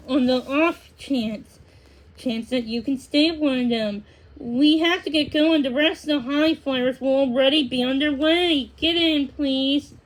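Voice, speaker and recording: A woman's voice: high voice, voice pushed up, low-quality recording. A woman voicing a firm but cheerful father in a storybook. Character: firm but cheerful father hurrying his family along.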